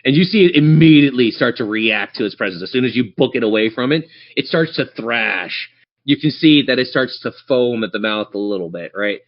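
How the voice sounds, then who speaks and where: A man's voice: mid-range voice, lively intonation, a little fast, lo-fi sound. A man running a tabletop game as game master, narrating the action and chatting encouragingly with players.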